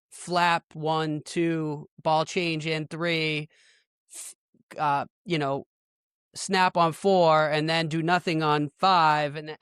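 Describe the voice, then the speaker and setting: Casting: American male podcast host, clean resonant tone, lightly high register, conversational. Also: a bit bright, clean tone, no echo, clean studio sound. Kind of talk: casual chat.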